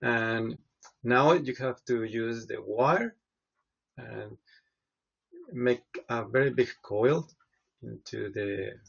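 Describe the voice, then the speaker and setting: Male tutorial presenter, husky and unhurried, halting step-by-step instruction. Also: mid-range voice, muffled recording. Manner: halting, hesitant delivery with frequent pauses.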